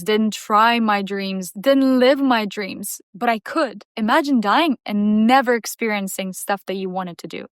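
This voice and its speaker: A woman's voice: medium pitch, clean tone, no echo, studio quality. A young woman whose first language is Russian, giving an emphatic motivational talk to camera as a vlogger and repeating phrases for effect. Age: young adult.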